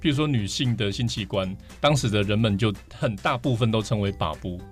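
A man's voice: on the low side, brisk. A man with a Taiwanese Mandarin accent explaining things enthusiastically as a guest in a conversation. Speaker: podcast guest, vinyl collector. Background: background music.

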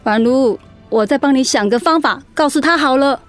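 An actress playing a steady, supportive friend in a radio drama, soothing and encouraging. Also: female voice, high-pitched, normal speed, faint music behind, natural voice. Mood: consoling. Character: supportive, steady female friend, comforting and practical.

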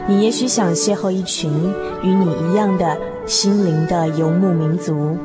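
A woman's voice: lowish voice, fairly even intonation, moderate pace. A woman reading a poetic, scripted introduction to a radio program. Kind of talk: slow poetic scripted narration. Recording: loud music under narration. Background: prominent instrumental music.

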